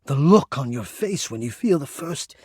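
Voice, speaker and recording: An actor playing a shy, devoted, lovestruck male air-vent spirit in a romance video game, with breathy, formal, florid and earnest delivery. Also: mid-range voice, big pitch swings, moderate pace, a little mellow, no room sound, studio quality. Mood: yearning, tender.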